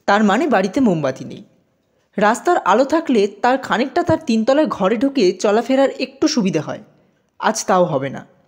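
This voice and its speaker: A woman narrating a scripted audio story in an expressive storytelling manner. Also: fairly high pitch, husky.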